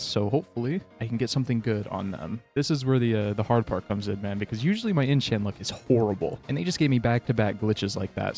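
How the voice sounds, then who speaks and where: A man of middle pitch talking through a game in a loose, slangy way and calling his viewers fellas and bro. He is a little under the weather, so his voice sounds a touch rough. Faint music plays quietly behind him. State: a little sick, voice slightly off. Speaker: gaming channel host. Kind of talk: casual gameplay commentary.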